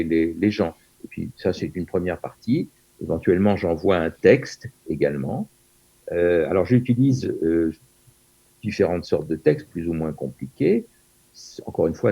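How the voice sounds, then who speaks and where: A man's voice: low-pitched, gravelly, dark tone, slightly rough. An older man in his seventies from France, a Yiddish teacher being interviewed over a video call, hesitant with many fillers and restarts.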